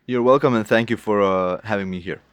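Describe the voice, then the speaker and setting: A young man with a Lithuanian accent, a native Lithuanian speaker, answering interview questions in halting English and often restarting as he searches for words. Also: lowish voice, clear, resonant tone, no room sound. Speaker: guest artist.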